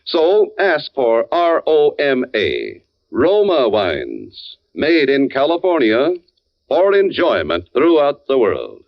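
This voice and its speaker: Very lively vintage radio ad man. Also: slow pace. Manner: polished, formal sales pitch. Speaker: commercial announcer. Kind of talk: 1940s radio advertisement, scripted. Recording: dull vintage radio recording.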